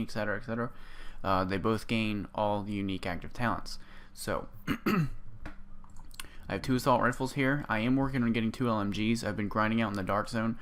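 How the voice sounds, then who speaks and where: A man with a hoarse, sore-throated voice, casually walking through his game build and rambling with lots of ums. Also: fairly low pitch, a bit bright. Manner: rambling, full of ums and restarts. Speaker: gaming video creator. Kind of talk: casual gameplay build walkthrough.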